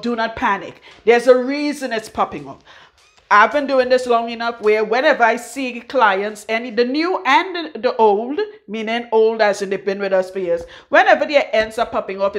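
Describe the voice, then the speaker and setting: A middle-aged Trinidadian woman giving a frank, forceful hair-care talk as a loctician in her salon. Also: on the high side. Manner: blunt, forceful, frank, swears freely. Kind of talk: casual hair-care tutorial.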